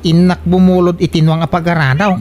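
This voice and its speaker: A woman's voice: fairly low pitch, level tone, a little fast, natural voice. A woman playing a bewildered, fretful rural householder in an Ilocano comedy radio drama, full of questions and exclamations, with an Ilocano accent. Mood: grumbling. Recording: clearly noisy background.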